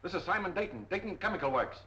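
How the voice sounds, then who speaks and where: An actor playing an imperious, flustered company boss with a commanding manner, in 1930s film style on an old muffled soundtrack. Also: male voice, high voice, lively intonation, fast talker, a little hiss or hum. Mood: urgent. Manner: commanding, forceful.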